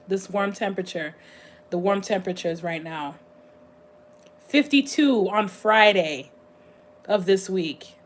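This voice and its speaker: A woman hosting a casual livestream, chatting in a rambling, meandering way, her voice slightly hoarse and going scratchy. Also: mid-range voice. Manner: rambling, chatty, thinks aloud with frequent pauses. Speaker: livestream host.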